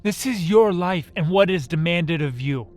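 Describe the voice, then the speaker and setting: A man's voice: sits high, expressive, moderate pace, soft background music. A man playing a strict, irritated training master in an amateur comic dub, speaking in a formal, commanding, scolding way. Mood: aggravated, lecturing. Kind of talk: amateur dubbed comic voice acting.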